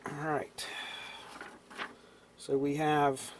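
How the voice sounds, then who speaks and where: Hoarse man, slightly high voice, relaxed workbench tutorial, clears his throat. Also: drawn-out words, noisy background. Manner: relaxed, talks while tinkering, frequent throat clearing. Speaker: radio repair hobbyist. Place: cluttered electronics workbench indoors.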